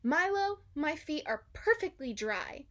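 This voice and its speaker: A woman's voice: high-pitched, sing-song, unhurried, pitched above the performer's own voice. A woman voicing an eager, bossy little girl who calls out emphatically in a children's storybook. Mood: pleased. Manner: emphatic, calling out. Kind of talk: children's storybook read-aloud.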